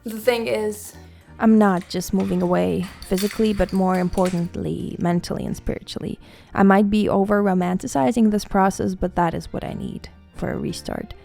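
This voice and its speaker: Gravelly-voiced Latvian woman musing softly. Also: mid-range voice. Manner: reflective, soft-spoken, confessional. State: recovering from illness. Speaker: independent musician and producer. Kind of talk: personal vlog monologue. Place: apartment room mid-packing.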